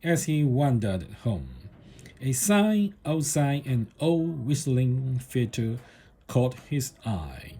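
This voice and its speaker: A man reading a children's story aloud in a deliberate narrating style, with the odd small stumble over the words. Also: medium pitch, sing-song, mellow, husky. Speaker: storybook reader.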